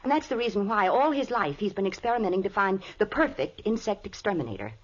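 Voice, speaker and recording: A nervous young wife, flustered and pleading, her breathy voice rising and falling in lively swings. Classic old-time radio acting at a mid pitch. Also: fast. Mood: earnest. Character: anxious young wife of a reclusive professor.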